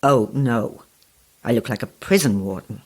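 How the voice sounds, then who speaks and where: A woman playing a sly, motherly hostess in her seventies in an audio drama, husky, blunt and playful, cooing endearments. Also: extremely low pitch, a little slow, darkish tone, full character voice. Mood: mischievous, blunt. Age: 70s. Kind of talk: audio horror drama acting.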